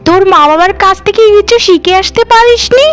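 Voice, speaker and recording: Very shrill, spiteful sister-in-law acted by a woman. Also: a little fast, a bit bright, faint music behind. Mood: angry, mocking. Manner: scolding, sneering, egging on. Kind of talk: Bengali family cartoon dialogue.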